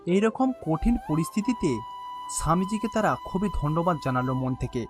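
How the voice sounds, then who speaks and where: A male storyteller with a rather high voice narrates a children's tale. He reads scripted lines in bedtime-story style, with faint music behind him. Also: animated, a little fast.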